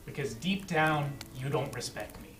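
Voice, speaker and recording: A man's voice: on the high side, moderate pace, a bit bright, faint music behind, close to an everyday voice. Man playing bitter, wounded comic actor, echoey. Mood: bitter, hurt. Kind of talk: live comedy table read. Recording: echoey room, distant mic.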